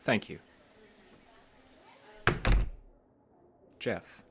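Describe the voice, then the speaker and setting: An actor playing a concerned psychologist in an audio drama, a man who is earnest, measured and gently pressing. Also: very noisy, lightly acted. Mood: polite, authoritative. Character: concerned, probing male psychologist, earnest and cautioning.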